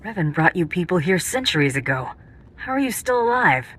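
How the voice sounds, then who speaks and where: A woman's voice: lowish voice, very animated, a little fast, a bit bright, a little hiss or hum. An actress playing a tough, ruthless female bounty hunter in a video game, blunt and commanding. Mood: demanding, impatient. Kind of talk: video game cutscene acting.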